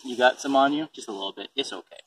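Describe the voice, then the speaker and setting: A man's voice: mid-range voice, quick pace, fairly bright tone, breathy edge. A man reading a picture book aloud and chatting casually, explaining things simply for a small child. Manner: simple explanations and questions aimed at a young child. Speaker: storybook reader and parent explaining composting. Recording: distant microphone, faint background noise.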